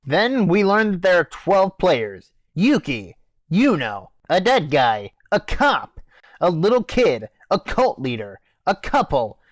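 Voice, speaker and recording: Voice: mid-range voice, big pitch swings, slow pace, no room sound. A reviewer hosting a scripted comic review, playing the exasperated straight man. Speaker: host, anime reviewer.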